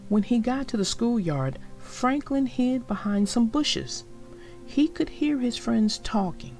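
A woman narrator reading aloud for young children in a deliberate, expressive way. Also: a little high, faint music behind.

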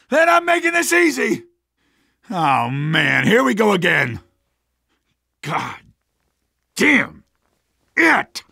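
Gritty, high-strung resistance fighter. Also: male voice, creaky, bright, forceful tone, dry recording, studio quality, full character voice. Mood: grim, anxious. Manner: urgent, emphatic. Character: gritty male resistance fighter rallying troops under attack. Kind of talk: video game battle barks.

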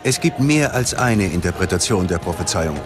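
A man's voice: low-pitched, animated, normal speed. A man narrating a scripted documentary in a dramatic, ominous manner. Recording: loud music behind the voice. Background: music.

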